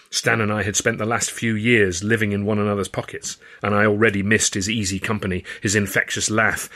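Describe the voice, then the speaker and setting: A man with an English accent narrates a novel in a fairly low, very bright, forceful voice. His delivery is expressive and wry, shaped as dramatic first-person storytelling. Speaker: author and audiobook narrator.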